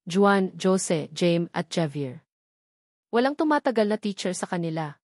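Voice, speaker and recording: A woman's voice: lowish voice, very animated, unhurried, a little mellow, no room sound, clean studio sound. A woman voicing a friendly female teacher in a storybook, chatty and confiding. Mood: warning.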